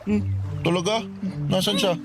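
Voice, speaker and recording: A man's voice: sits high, flat delivery, moderate pace, close to an everyday voice. An actor playing Makoy, a guarded and hesitant young man, in a radio drama. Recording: music bed under dialogue.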